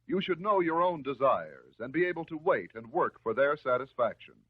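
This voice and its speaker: An American man delivering scripted narration in the style of a 1950s educational film, measured, instructive and reassuring. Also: a little high, sing-song, breathy edge. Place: voice-over recorded for film. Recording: muffled, narrow-band 1950s film soundtrack.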